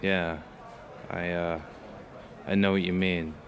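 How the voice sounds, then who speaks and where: An actor playing a hesitant, neurotic dinner companion in an audio drama, speaking in a halting, stammering, hedging way. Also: male voice, sits low, flat delivery. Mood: subdued agreement. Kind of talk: conversational audio drama dialogue.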